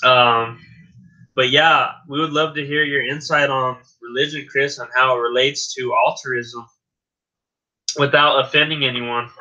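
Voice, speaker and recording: A man's voice: mid-range voice, fairly even intonation, measured pace, bright, forceful tone, muffled recording. A man guest-hosting a casual podcast, earnest and insistent, repeating his points. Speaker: guest host.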